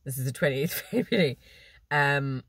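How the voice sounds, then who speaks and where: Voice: mid-range voice, moderate pace, gravelly, fairly bright tone, breathy edge, dry recording. Stitcher vlogging from the car, nasal and a bit stuffy, rambly chat, dry close sound. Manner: chatty, rambling, with false starts and small laughs. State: slightly blocked nose. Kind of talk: casual chat to camera. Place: parked car.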